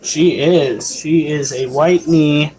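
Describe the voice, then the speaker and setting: A man's voice: on the high side, takes it slowly, mellow, low-quality recording. A man hosting a casual livestream, chatting and explaining how to care for his pets while answering chat. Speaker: livestream host and pet keeper.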